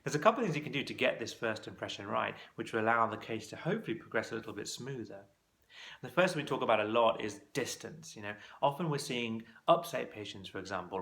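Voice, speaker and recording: Low, gravelly-voiced man coaching. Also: a bit bright. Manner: conversational, practical, coaching tone. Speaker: clinical exam course tutor. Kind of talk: instructional talk to camera.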